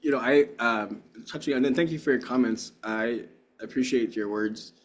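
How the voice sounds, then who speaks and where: A man's voice: mid-range voice, moderate pace. A man giving a keynote talk and answering audience questions in an earnest, rambling way with frequent restarts. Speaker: keynote speaker.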